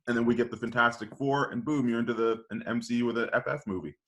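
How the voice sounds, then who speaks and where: A man on a podcast panel casually pitching ideas, hesitant as he thinks aloud. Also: steady tone, fast talker, a bit bright, slight background noise.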